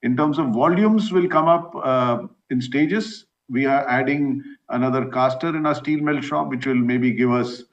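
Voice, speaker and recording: A man answering questions as a company executive, explaining in a halting way. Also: mid-range voice. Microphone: conference call. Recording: dull, lo-fi conference call.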